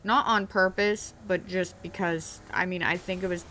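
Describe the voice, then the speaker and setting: A young woman talks in a slightly low voice, stopping and restarting often as she plays a game. She is recorded in her bedroom with a faint background hiss. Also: steady tone, moderate pace, sharp tone. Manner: hesitant, lots of ums and restarts, self-deprecating; talks while playing a driving video game. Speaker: vlogger. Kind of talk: casual personal story time.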